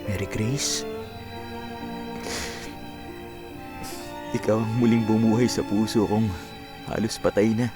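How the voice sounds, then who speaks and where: A man's voice: lowish voice, lightly acted. An actor with a Filipino accent playing a heartbroken, devoted young man in a Tagalog radio drama. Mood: tender, aching. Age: young adult. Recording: dramatic music loud under voice.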